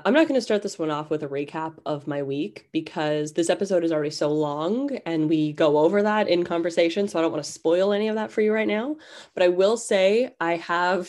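A woman's voice: lowish voice, a little mellow. A young woman hosting a casual podcast intro, a little nasal from a cold, chatty and self-deprecating. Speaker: podcast host.

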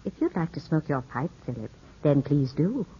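An actress playing a gracious, gently teasing widow in a 1950s radio drama, speaking in a composed, courteous way. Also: female voice, low-pitched, big pitch swings, moderate pace, slight background noise. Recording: muffled 1950s radio recording.

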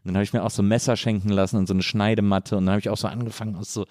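A man with a fairly low, very mellow voice, recorded close and dry. He hosts a casual chat, talking in a reflective, informal way. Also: level tone, very fast, no echo. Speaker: podcast host. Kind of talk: casual conversational interview.

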